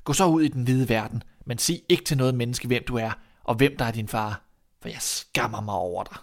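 A man's voice: mid-range voice, lightly acted. A man voicing a stern, exasperated father in a fairy tale, blunt and scolding. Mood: cold, dismissive.

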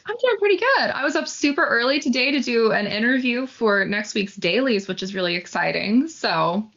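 A woman's voice: on the high side, expressive, normal speed, a bit bright, muffled recording. A woman co-hosting a show in casual chat, with an upbeat, agreeable manner. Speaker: co-host.

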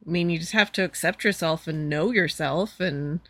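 A woman co-hosting a casual podcast, answering briefly in an easygoing, unhurried way. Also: low voice, a bit bright, dry recording. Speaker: podcast co-host.

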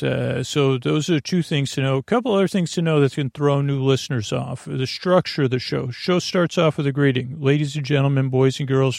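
A man with a creaky, gravelly voice delivers a meandering monologue as a sleep podcast host. He drifts into tangents and breaks off his own sentences in a halting way. The recording is dry and close, with very little room sound. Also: medium pitch, darkish tone, no echo. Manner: meandering, halting, full of tangents and self-interruptions.

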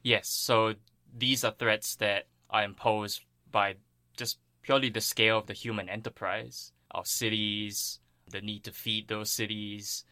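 Guy from singapore being interviewed as a scientist, bright forward voice, earnest, really dry sound. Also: on the low side, level tone, measured pace, no room sound. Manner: earnest, thoughtful explaining. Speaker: interviewed plant ecologist. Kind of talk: explanatory interview answers.

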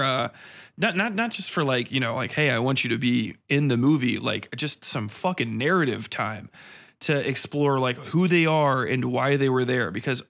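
A man's voice: medium pitch, fairly bright tone. A man hosting a podcast, giving a casual film review monologue in a blunt, sardonic, profane way.

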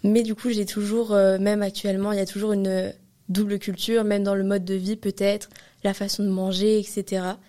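A woman's voice: mid-range voice, flat delivery, moderate pace, mellow. An eighteen-year-old woman from France, a student guest on a podcast, chatting casually about herself. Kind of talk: casual personal chat.

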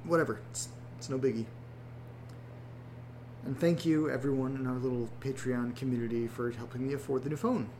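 A man's voice: mid-range voice, fairly even intonation, a little fast, darkish tone, a little hiss or hum, lightly acted. A man playing a young guy in a horror audio drama who talks sarcastically to his listeners and rambles with fillers. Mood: sarcastic. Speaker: narrator and protagonist.

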